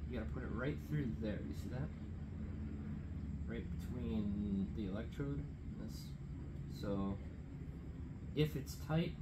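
A man's voice: fairly low pitch, takes it slowly, long pauses, heavy background noise. A man in his thirties patiently explaining car repair step by step to his daughter in an open garage. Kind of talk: casual how-to explanation. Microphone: camera on a tripod a few feet away.

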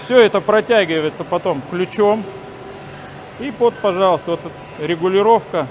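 A man in his forties giving a halting, step-by-step hands-on demonstration while he works. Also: high-pitched. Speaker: instructor demonstrating sawmill assembly. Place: large factory workshop hall, indoors. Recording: noisy industrial workshop ambience. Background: clear workshop noise.

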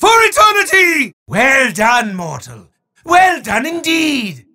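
Evil game wizard, hammy, gravelly, piercing and forceful, slow drawn-out proclamations. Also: male voice, extremely high pitch, huge pitch swings, full character voice. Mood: triumphant. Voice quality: raspy. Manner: theatrical, drawn-out proclamations breaking into shouts. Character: bombastic, hammy evil male wizard, boastful and petty. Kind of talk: video game villain voice acting.

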